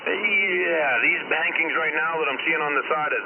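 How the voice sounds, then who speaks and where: A fairly high-voiced man rambles through casual chat as he talks over CB radio. The sound is narrow and phone-like, with clear noise over it, and his talk is loose and a little profane. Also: normal speed. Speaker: CB radio operator out driving. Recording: noisy lo-fi CB radio transmission.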